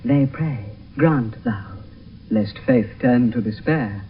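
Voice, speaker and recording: A man playing an earnest, lovestruck young gentleman, speaking lyrical verse in a 1970s radio play. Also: medium pitch, slow pace, a little hiss or hum, lightly acted. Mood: tender. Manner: lyrical, poetic. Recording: muffled distant radio broadcast, music behind.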